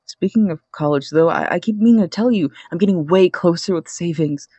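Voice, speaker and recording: Earnest young man, played by a male actor, audio drama phone message. Dry, slightly muffled sound, sincere and halting. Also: high-pitched, dark tone, breathy edge, no echo. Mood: hopeful, chatty. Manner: hesitant, sincere, rambling. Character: earnest, hopeful young man missing his friend at college.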